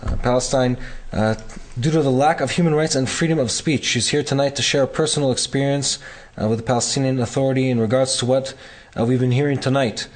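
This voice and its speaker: A man's voice: mid-range voice, steady tone, fast talker, few pauses. Hesitant man introducing a speaker. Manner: hesitant, many fillers. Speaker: event host introducing speakers. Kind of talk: event introduction.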